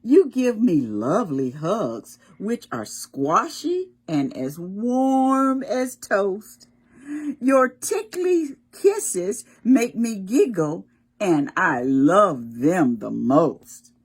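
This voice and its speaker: A woman reading aloud as a gentle, loving mother bunny from a picture book, in a soft sing-song voice. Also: mid-range voice, sing-song, extremely slow, husky, full character voice. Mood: tender.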